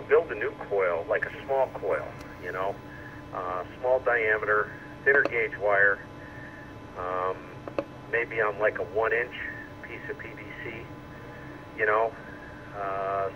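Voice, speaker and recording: Deep-voiced man rambling over two-way radio. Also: highs cut off. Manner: rambling, thinking aloud. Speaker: amateur radio operator. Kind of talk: casual radio conversation. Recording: radio speaker re-recorded with loud noise.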